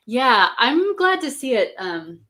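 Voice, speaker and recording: A woman's voice: a little high, very animated, slow pace, bright, forceful tone. A woman companion making casual comments during a reading. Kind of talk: casual chat.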